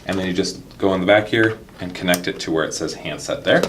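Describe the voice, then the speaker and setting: Guy with a deep voice doing a laid out how-to demo, casual, bit of rumbly background noise. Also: fast talker. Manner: step-by-step explaining. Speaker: product demonstrator. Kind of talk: casual instructional product tutorial.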